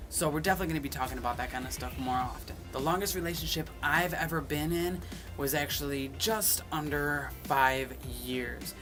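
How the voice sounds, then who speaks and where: Chatty young man over background music. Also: medium pitch. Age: 20s. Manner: chatty, reads each question aloud, then answers. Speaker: vlogger. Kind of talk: casual chat answering questions to camera. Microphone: phone.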